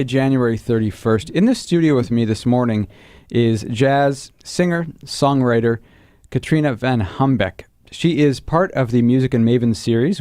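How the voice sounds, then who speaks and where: Mellow male radio host, dry studio sound. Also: medium pitch, dry recording. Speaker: radio host interviewing a guest. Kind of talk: live radio interview. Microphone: studio broadcast microphone.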